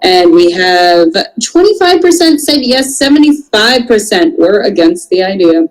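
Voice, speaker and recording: Animated woman co-hosting a casual chat. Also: mid-range voice, measured pace, rough recording. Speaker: panelist and co-host. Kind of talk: casual chat, giving opinions.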